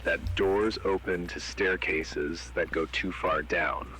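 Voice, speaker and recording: A man voicing a grim emergency broadcast announcer who gives flat, ominous, commanding warnings. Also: sits low, slow, slight background noise, exaggerated voice, low-quality recording. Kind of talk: analog horror warning broadcast.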